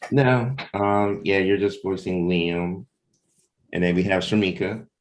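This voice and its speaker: A man hosting a livestreamed table read in a casual, informal way, pausing between short phrases. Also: low-pitched, fairly even intonation. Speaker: host, comic book creator and narrator.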